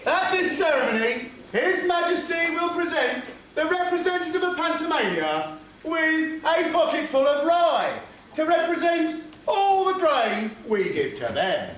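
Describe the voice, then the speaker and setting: A man's voice: extremely high pitch, big pitch swings, a bit bright, some chatter behind, full character voice. A man with an English accent playing a cheeky, pompous town crier, proclaiming in an amateur stage pantomime. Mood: mock-pompous. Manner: declamatory, drawn-out proclaiming. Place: theatre stage. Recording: very echoey noisy theatre.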